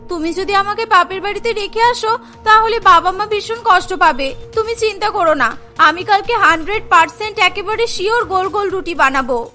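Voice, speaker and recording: A woman's voice: very high voice, normal speed, bright, forceful tone. A woman voicing an anxious, pleading young new wife in a cartoon story. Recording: music behind the voice.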